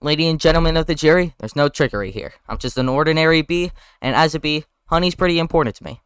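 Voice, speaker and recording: A man's voice: on the high side, quick pace, a bit bright, clear, resonant tone, dry recording, pitched above the performer's own voice, natural voice. A man voicing a plucky young male honeybee in an earnest, emphatic, plain-spoken way.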